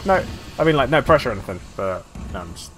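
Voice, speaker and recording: A man's voice: mid-range voice, sing-song, quick pace, a bit bright, soft background music. A man from the UK talking casually as a live streamer while playing a game. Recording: noisy gaming voice chat.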